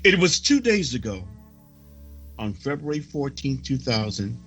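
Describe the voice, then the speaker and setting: A man reading prophecy as a sermon, solemn and declamatory, with dramatic pauses. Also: mid-range voice, very animated, fairly bright tone, slightly rough, faint music behind. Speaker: preacher reading a prophecy report.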